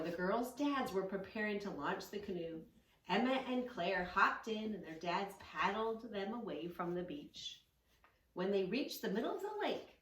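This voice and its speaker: A woman reading a story aloud to children and teaching yoga poses with gentle, encouraging praise. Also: mid-range voice. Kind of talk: read-along story with yoga instructions for children. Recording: roomy, echoing, distant microphone.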